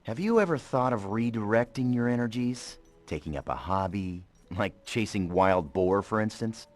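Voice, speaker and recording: Adventure hero, male actor: low-pitched, sarcastic, exasperated, lively inflection for a game cutscene. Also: moderate pace, soft background music, close to an everyday voice. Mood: wry. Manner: dry, sarcastic. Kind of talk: video game cutscene acting.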